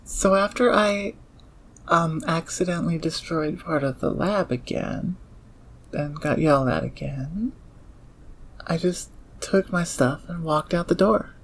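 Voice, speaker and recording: An actor as a gravelly, awkward engineer thief. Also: medium pitch, measured pace. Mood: matter-of-fact, frustrated. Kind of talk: audio roleplay acting. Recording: clear background ambience noise.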